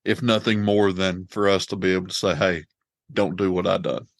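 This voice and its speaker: Deep-voiced plainspoken male podcast host. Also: fairly even intonation, moderate pace, no room sound. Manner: plainspoken and earnest, speaks of faith. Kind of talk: casual conversation.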